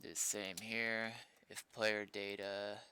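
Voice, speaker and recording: Man, low bright voice, casual coding stream, muttered self-talk, occasional swearing. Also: level tone, slow, a little hiss or hum. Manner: muttering to himself, profane. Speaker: streamer doing game server development. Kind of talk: casual thinking aloud while coding.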